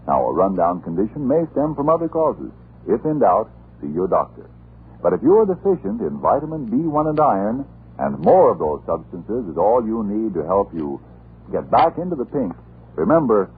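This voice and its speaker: A man's voice: lowish voice, a little hiss or hum. A man working as an announcer, delivering scripted 1940s radio advertising patter. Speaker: radio announcer and sponsor pitchman. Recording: tinny old radio recording.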